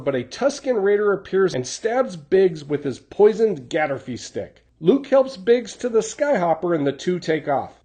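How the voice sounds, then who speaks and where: A man's voice: sits high, warm tone, breathy edge. A man reading scripted narration, recapping stories in the role of a channel host.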